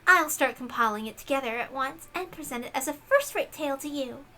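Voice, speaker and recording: Woman doing a smug girl detective, extremely high voice, bright and sharp, boastful, eagerly obedient, visual novel character. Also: expressive, brisk. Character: smug, eager girl detective devoted to her witch master.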